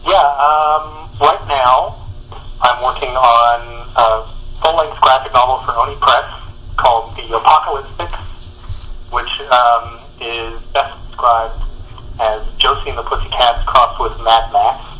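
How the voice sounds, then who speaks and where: A man's voice: medium pitch, fairly even intonation, measured pace, bright, forceful tone, poor audio. A man, a comic book artist, answering interview questions reflectively, searching for his words.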